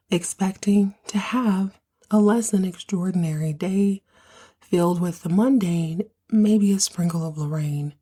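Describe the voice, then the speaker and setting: A woman in her thirties from the United States hosting a soap opera recap podcast, speaking in a hushed, half-whispered way because she has partly lost her voice. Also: fairly low pitch, breathy edge. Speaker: podcast host.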